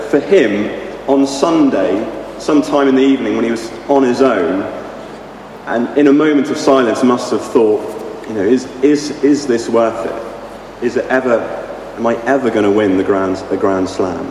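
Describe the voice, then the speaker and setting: Croaky male preacher, hesitant and restarting, heard from across a reverberant hall. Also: medium pitch, darkish tone. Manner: halting, with many restarts and ums. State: slightly croaky voice. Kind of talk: sermon. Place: church hall. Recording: echoey hall from far off, noisy.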